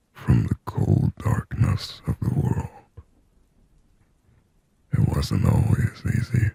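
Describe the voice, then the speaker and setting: A lonely, yearning demon acted by a man murmurs in an extremely low, dark whisper. The delivery is halting and slow, breathy and possessive, as intimate ASMR roleplay spoken right against the listener's ear. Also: no room sound, heavily acted. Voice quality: breathy, husky whisper with low gravelly rasp. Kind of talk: scripted ASMR roleplay monologue. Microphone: close microphone, dry.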